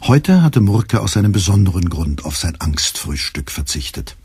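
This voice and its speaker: A man narrating a radio drama in a calm, measured storytelling voice. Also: sits low, very noisy. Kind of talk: scripted literary narration.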